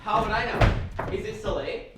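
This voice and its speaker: A man playing a resigned, obedient worker who clings to his orders, speaking stiffly and deliberately in an amateur stage play. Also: high voice, level tone, close to an everyday voice. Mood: puzzled. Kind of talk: amateur stage one-act play, absurdist dialogue. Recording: very echoey hall, distant mic, faint noise.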